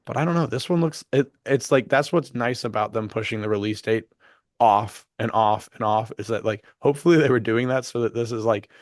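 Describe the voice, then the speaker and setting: A man's voice: mid-range voice, fairly quick, dry recording, clean studio sound. A man co-hosting a podcast, chatting in an enthusiastic, slangy and jokey way, often saying "dude". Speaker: podcast co-host. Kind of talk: casual enthusiastic chat.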